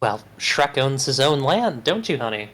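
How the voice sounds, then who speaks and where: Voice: mid-range voice, big pitch swings, normal speed, fairly bright tone. A performer voicing an earnest princess, a placating young wife and daughter, in a comedic table read. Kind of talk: comedic table read of animated film script.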